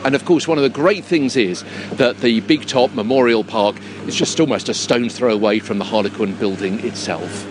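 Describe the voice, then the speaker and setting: A man's voice: mid-range voice, moderate pace. A man hosting a podcast on location, chatting and describing his surroundings as he goes. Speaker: podcast host. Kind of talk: on-location podcast presenting and interviewing. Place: on location at a big top tent in a park. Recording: generator hum and outdoor background noise. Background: faint generator and ambient noise.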